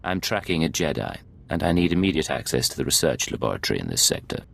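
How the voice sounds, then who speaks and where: An actor playing a cool, cynical secret agent in a video game, speaking in a controlled, laconic deadpan. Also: male voice, extremely low pitch, fast talker, vocal fry, exaggerated voice. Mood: controlled, businesslike.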